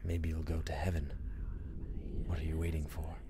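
Radio drama: male actor as tormented, sardonic prince, deep-voiced, bitterly theatrical, clearly noisy recording with ambience. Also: level tone, normal speed. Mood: contemptuous, weary. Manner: brooding, dramatic, sardonic, slipping into whispers and outbursts. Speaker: performer in a student radio play. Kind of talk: theatrical radio-play monologue.

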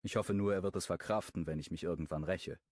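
A man narrating a romance audiobook in the first person, with an expressive storytelling delivery. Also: low voice, fast, no echo, clean studio sound.

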